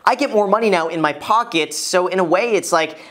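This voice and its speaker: A man's voice: high-pitched, fairly quick, a bit bright. A man in his twenties explaining things to camera as a video host, emphatically stressing his key words. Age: 20s. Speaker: real estate video host. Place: small furnished indoor room. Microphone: lapel mic.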